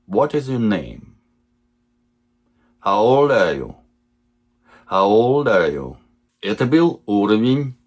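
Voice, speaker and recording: A man teaching English, reading simple example sentences slowly and repeating each one for learners. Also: fairly low pitch. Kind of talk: slow lesson reading example sentences twice.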